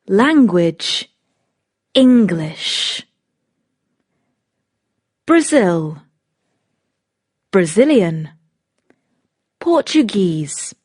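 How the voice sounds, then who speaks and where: A woman reads out a vocabulary list for learners, pausing after every single word. Her pitch moves up and down a lot, and she keeps a very slow, deliberate pace. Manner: reads each word on its own, with lively intonation. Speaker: lesson narrator.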